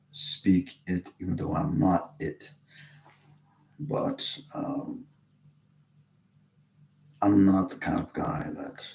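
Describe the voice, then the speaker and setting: A man from Texas talking casually to camera in a halting way, with many restarts and pauses. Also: sits low, gravelly, darkish tone. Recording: roomy echo.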